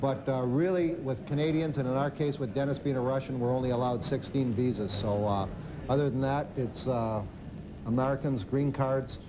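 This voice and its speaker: A man talking casually as a hockey coach in an interview, with halting, repetitive phrasing. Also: mid-range voice, fairly even intonation. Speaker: ice hockey head coach. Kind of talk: casual sports interview answers. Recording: loud background noise at public venue. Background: loud ambient noise.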